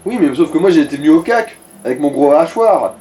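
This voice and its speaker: A man's voice: fairly high pitch, animated, brisk, warm tone. A man giving casual, wry, slangy commentary while gaming with a partner. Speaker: let's player and co-commentator. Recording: echoey room with faint noise.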